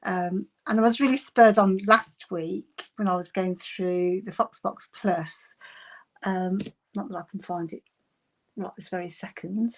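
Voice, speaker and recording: A woman's voice: medium pitch, fairly even intonation, a little slow, a little hiss or hum, rough recording. Chatty Bristolian woman hosting a craft stream. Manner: chatty, giggly, greets viewers by name. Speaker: craft livestream host. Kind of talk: casual live craft chat. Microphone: phone camera on desk.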